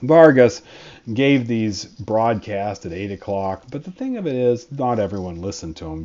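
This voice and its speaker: A man's voice: mid-range voice, mellow, muffled recording. A man, a history professor, giving a conversational college lecture with frequent fillers and restarts.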